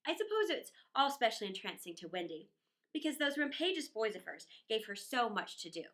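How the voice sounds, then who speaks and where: A woman reading a children's story aloud in an expressive, playful, theatrical manner with chatty asides. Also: fairly high pitch, a little fast.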